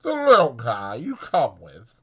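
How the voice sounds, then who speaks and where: Man putting on a brassy high-pitched scornful young woman. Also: huge pitch swings, measured pace, breathy edge, full character voice. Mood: coy, probing. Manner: contemptuous, brassy, slangy rural American.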